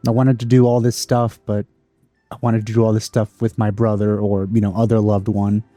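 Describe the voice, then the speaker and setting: Guy reacting to a game, mellow flat voice, dry close sound. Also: fairly low pitch, normal speed, dry recording, faint music behind. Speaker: gameplay commentator. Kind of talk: casual play-along commentary.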